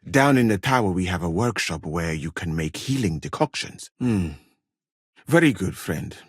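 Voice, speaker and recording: An actor playing a courteous, learned physician from Mali in a medieval video game, speaking in formal, measured phrases with gentle gallantry. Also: male voice, low-pitched, animated, husky, dry recording, clean studio sound. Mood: friendly, composed.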